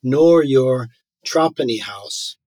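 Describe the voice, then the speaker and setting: A man interviews a guest, asking courteous, sometimes halting questions with repeated words. His voice is husky and raspy, recorded dry and close. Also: medium pitch, expressive, a little mellow, no room sound. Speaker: host, interviewer.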